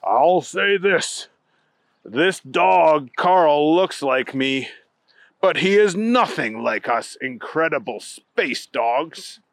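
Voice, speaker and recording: A man's voice: on the high side, lively intonation, slow pace, no room sound. A man voicing a pompous, easily disgusted alien space dog general in a children's story, talking in self-important, indignant declarations. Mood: smug.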